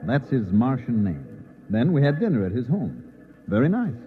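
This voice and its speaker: An American actor playing an easygoing, protective newspaper reporter in an old-time radio drama, smooth-talking, wry and reassuring. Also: male voice, mid-range voice, big pitch swings, normal speed, faint music behind, lightly acted. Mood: wry, defensive. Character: easygoing, protective newspaper reporter narrating his own story. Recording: tinny narrow-band vintage radio, noisy.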